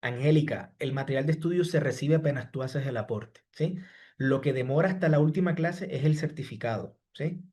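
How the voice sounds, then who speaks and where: A man running a live online course, reading out students' chat questions and answering each one by name. His voice sits at an ordinary middle pitch, and he checks in after almost every sentence. He talks in a patient, repetitive way. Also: fairly quick, mellow. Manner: repeats "¿sí?" and "estimados" after each point.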